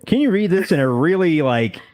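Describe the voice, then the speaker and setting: A man's voice: a little high, very animated, brisk, darkish tone, dry recording. A man co-hosting a casual podcast, joking and bantering animatedly. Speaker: podcast co-host.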